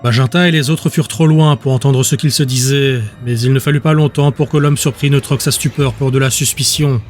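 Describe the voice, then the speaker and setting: A male narrator reads scripted fiction for an audiobook. His voice sits at an average pitch, and his delivery is measured and descriptive. Faint music runs behind him. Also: steady tone, a little mellow. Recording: music under the voice.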